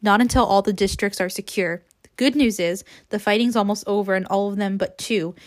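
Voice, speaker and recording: A woman's voice: mid-range voice, fairly even intonation, fairly quick, lightly acted. Woman reading a businesslike male rebel official. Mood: firm.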